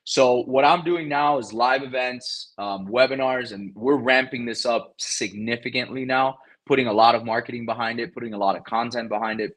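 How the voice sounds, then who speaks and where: Spanish-first guy on a podcast, bright kinda husky voice, talks fast, keeps stumbling and restarting words. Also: mid-range voice. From South Florida. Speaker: guest, real estate investor. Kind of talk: casual interview talk.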